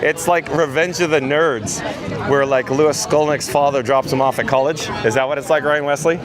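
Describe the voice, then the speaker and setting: A man with a hoarse, raspy voice live-streaming from outdoors at a theme park, reading viewer comments aloud in an upbeat, chatty way. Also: a little high, steady tone, moderate pace, crowd noise. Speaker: vlogger. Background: music.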